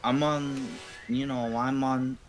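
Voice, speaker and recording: A man's voice: medium pitch, little pitch movement, takes it slowly. A man musing in a rambling, slangy way during a casual stream chat. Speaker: stream guest. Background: game music.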